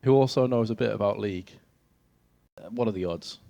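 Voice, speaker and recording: A young man with an English accent, his voice sitting a little low, casts an esports match from an event stage. He fills the dead time with self-mocking jokes and laughs easily. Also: a little fast, vocal fry, dark tone, dull audio. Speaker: esports caster. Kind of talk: casual caster banter.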